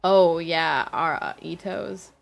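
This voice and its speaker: A woman livestreaming a game with casual, rambling commentary, thinking aloud in a halting way and questioning herself. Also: fairly low pitch, fairly even intonation, vocal fry, soft background music.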